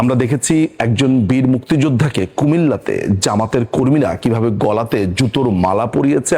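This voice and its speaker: A man's voice: medium pitch, vocal fry, darkish tone, slightly rough. A man with a Bangladeshi accent hosting political commentary in an emphatic, rhetorical manner. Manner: emphatic, rhetorical questions and repetition. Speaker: political commentator and show host.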